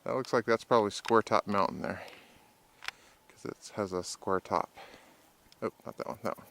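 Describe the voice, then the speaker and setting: A man's voice: on the low side, steady tone, normal speed, creaky, fairly bright tone, slight background noise. A man casually narrating his backpacking trip outdoors on a mountain trail in an easygoing, wry way, recorded on a handheld camera mic.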